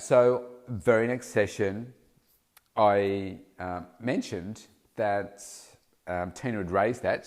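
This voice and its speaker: A middle-aged man with a somewhat low voice hosts his own podcast as a coach. He sounds a touch nasal from hay fever. He thinks aloud in a loose, casual way, often stopping to correct himself mid-sentence. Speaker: podcast host and weight loss coach. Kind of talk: casual solo podcast talk.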